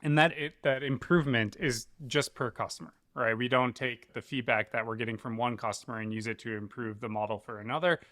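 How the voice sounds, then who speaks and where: A man's voice: medium pitch, fairly even intonation, fairly quick, few pauses, a bit bright, no echo, studio quality. A man giving explanatory answers as a tech co-founder in an interview.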